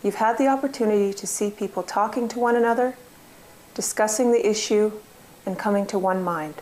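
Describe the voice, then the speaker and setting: A woman's voice: medium pitch, brisk, darkish tone, a little hiss or hum, poor audio. A woman giving scripted informational narration in an explanatory way.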